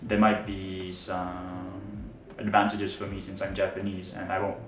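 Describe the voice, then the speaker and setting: A young man, a student, casually answering interview questions in a relaxed, rambling way with many fillers. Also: sits low, measured pace. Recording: roomy, distant mic with faint noise.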